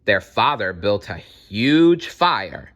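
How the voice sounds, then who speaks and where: A man reads a fairytale aloud for children. His voice is a little low in pitch but bright and forward. He is expressive and talks straight to his young listeners. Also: takes it slowly. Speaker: storyteller.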